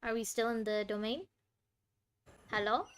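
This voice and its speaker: A woman's voice: a little high, moderate pace, a little hiss or hum. A woman doing casual, playful gameplay commentary as a streamer, reacting aloud to the game. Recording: game music behind the voice.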